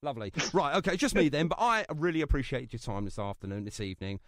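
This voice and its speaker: A man's voice: medium pitch, animated, fast talker, no echo, studio quality. A man hosting a casual radio interview, chatty and jokey. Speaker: radio host. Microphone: phone line to guest.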